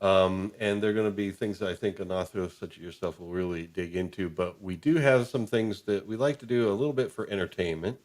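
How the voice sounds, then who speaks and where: Man, lowish voice, podcast host, informal, conversational, halting delivery, agreeable. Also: darkish tone. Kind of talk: casual, conversational interviewing.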